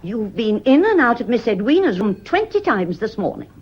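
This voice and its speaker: Tart, husky British housekeeper. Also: female voice, on the high side, sing-song, normal speed. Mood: tart, impatient. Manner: curt, indignant, stiffly respectful. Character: tart, loyal, resentful housekeeper and nurse. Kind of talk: radio play acting. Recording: muffled old radio drama recording.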